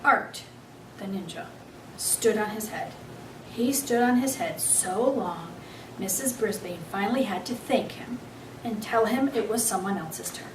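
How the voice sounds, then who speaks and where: A woman reading a children's book aloud as an expressive storyteller. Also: medium pitch, measured pace, recorded from a distance. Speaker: story reader. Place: echoey room, away from the mic. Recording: roomy, off-mic, faint background noise.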